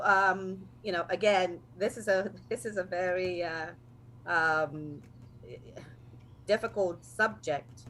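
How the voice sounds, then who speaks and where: A woman hosting a talk show, measured and steady as she works through points. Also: lowish voice, slight background noise. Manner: steady, measured, leads the agenda. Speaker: host.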